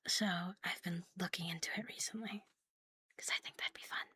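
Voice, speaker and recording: A woman hosting a live ASMR stream, chatting casually and playfully in a soft, breathy voice that often slips into a whisper. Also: fairly low pitch, steady tone, brisk, no room sound, studio quality. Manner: playful, giggly, teasing. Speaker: ASMR livestream host.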